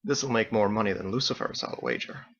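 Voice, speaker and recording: A man playing a wry, courteous, ageless sailor captain in an audiobook story, speaking in a measured, courtly way. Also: lowish voice, a bit bright, highs cut off. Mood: sly.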